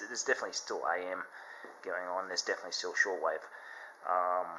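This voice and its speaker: A man giving a casual, unscripted product demonstration, explaining things in a halting, step-by-step way. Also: lowish voice, bright, forceful tone, slight background noise, rough recording. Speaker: product reviewer.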